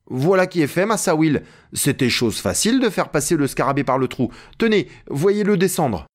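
A man's voice: fairly high pitch, very animated, moderate pace, pitched above the performer's own voice. A man voicing a timid, naive, deferential male servant calling out plaintively in a storybook. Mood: naive, puzzled.

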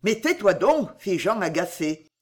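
A woman's voice: medium pitch, very animated, moderate pace. A woman voicing a troubled young man, curt, defensive and easily flustered, in a storybook reading. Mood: irritated.

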